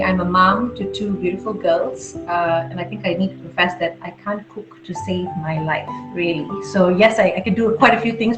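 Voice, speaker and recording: A middle-aged woman from Malaysia, an interview guest talking casually and candidly about herself, with self-deprecating asides. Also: mid-range voice. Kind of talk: casual conversational storytelling. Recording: roomy dull audio, clear music behind.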